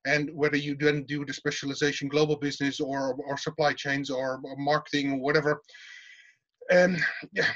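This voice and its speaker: Middle-aged Dutch man, lecturer type, slightly higher voice, chatty and full of restarts, muffled call audio. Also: fairly bright tone. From Netherlands. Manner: informal, repeats words and restarts often. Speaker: university teaching fellow. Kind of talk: casual interview answers. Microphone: video call. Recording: dull lo-fi video call audio.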